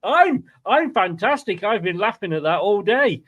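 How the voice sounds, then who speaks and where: A man with a notably high voice hosts a casual football stream. He chats informally and teases as he goes, with an easy, jokey manner that readily breaks into laughter. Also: very animated, moderate pace, fairly bright tone. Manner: jokey, teasing, laughs easily. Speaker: host of a football fan channel show. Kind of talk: casual livestream chat.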